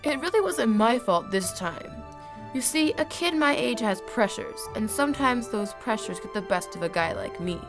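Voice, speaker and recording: Woman as a lively, excuse-making little boy. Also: a little high, moderate pace, over music. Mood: pleading. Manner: pleading, self-justifying.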